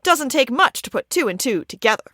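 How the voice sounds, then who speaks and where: A woman's voice: high voice, sing-song, brisk, sharp tone, no echo, studio quality, full character voice. A woman playing a snappy, tough 1940s lady sleuth in an old-time radio drama, with pert, slangy questioning. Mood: accusing.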